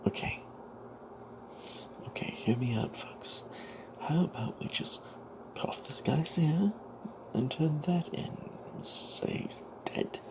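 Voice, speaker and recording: Breathy whispering male storyteller with gravelly fry. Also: on the high side, lively intonation, background noise. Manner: halting, with long pauses. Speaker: narrator of a tabletop fantasy tale.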